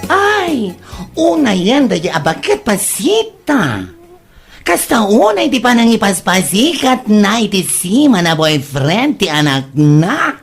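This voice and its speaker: A husky young Ilocano best friend voiced by a woman, gossipy and theatrical. She drawls slowly, with exclamations that swoop up and down in comedy-drama fashion. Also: mid-range voice, very animated, soft background music, exaggerated voice.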